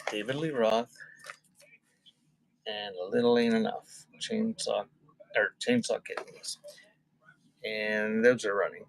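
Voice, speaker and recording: A man's voice: lowish voice, fairly even intonation, takes it slowly, vocal fry, long pauses, a little hiss or hum, poor audio. A man hosting a casual live sale, rambling conversationally between calling out items and chatting with viewers. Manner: conversational, rambling between item call-outs and chat. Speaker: live auction seller and host. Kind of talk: casual livestream selling chat.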